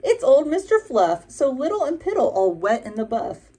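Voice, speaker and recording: A woman voicing a male ram in a storybook who calls out loudly, then talks kindly. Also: sits high, very animated, normal speed, slightly rough, voice pushed up, full character voice. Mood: teasing.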